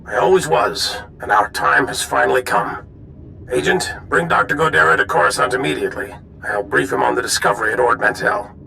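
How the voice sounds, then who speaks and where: Brisk old general. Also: male voice, medium pitch, vocal fry, sharp tone, slight background noise. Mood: proud, brisk. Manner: brisk, authoritative. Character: resolute old Republic general.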